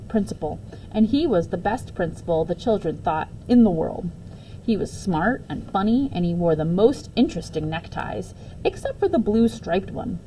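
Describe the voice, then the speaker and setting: A woman reading a children's book aloud as a teacher would, in an expressive storytelling style. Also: medium pitch, a little hiss or hum, rough recording.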